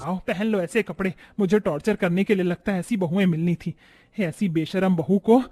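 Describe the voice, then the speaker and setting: A man acts out an older village mother-in-law in an animated moral tale. The voice is high-pitched and plaintive. She is traditional and exasperated, scolding with dramatic exclamations. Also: moderate pace, soft background music, close to an everyday voice. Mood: bitter scolding.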